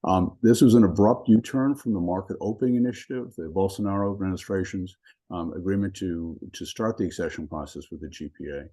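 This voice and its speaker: Male law professor hosting a webinar, low mellow voice, measured with ums, video call. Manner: measured, with frequent ums and restarts. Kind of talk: webinar introduction.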